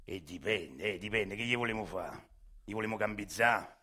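A man with a Roman accent voicing a shady street criminal who talks in a low, slow, matter-of-fact way. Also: pushed and bright. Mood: menacing.

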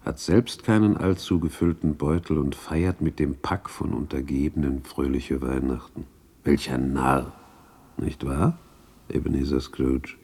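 An actor playing a solemn, reproachful ghost in a radio play, speaking in a grave, probing, admonishing manner. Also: male voice, a bass voice, steady tone, measured pace, darkish tone, slightly rough, heavily acted. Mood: taunting, reproachful. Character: solemn, reproachful male ghost of Christmas past. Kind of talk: 1960s German radio play acting.

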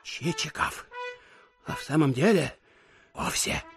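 A man playing an excitable, suspicious, gossiping official in an audio drama. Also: a little high, slow, music in the background. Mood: conspiratorial, excited. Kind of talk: theatrical audiobook radio play.

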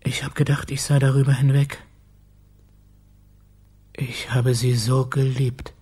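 An actor playing an older, courteous apartment owner and father in a radio drama, speaking haltingly. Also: mid-range voice, level tone, dark tone, slightly rough. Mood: shaken, grieving.

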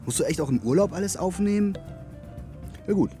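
An actor playing an affectionate young man who teases his girlfriend while filming her, in a playful, lively way. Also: high voice, a little fast, over music. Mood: teasing. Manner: teasing, playful commentary. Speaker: boyfriend filming on holiday. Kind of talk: radio drama acting.

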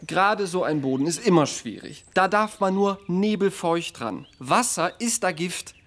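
A man's voice: high-pitched, animated, normal speed, fairly bright tone. A man playing a fussy, eager-to-please boyfriend in a naturalistic radio drama, fidgety and casual.

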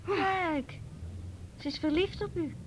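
A woman's voice: very high-pitched, slow. An actress in a radio drama playing a scornful mother with a cutting, sarcastic manner. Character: indignant, icily polite, scornful mother. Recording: radio play with faint noise.

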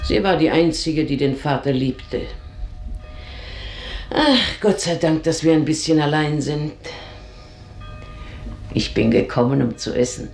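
An actress playing an earthy, bitter older housekeeper in a German radio drama, grumbling with theatrical scorn. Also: female voice, sits low, measured pace, creaky, long pauses, darkish tone, soft background music. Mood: sly grumbling. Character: bitter, earthy older female housekeeper, gossiping and cursing her mistress. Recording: roomy, echoing radio drama studio sound.

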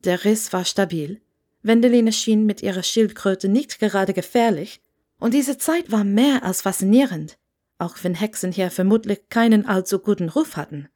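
A woman's voice: mid-range voice, moderate pace, darkish tone. A woman reading a scripted story as an audiobook narrator.

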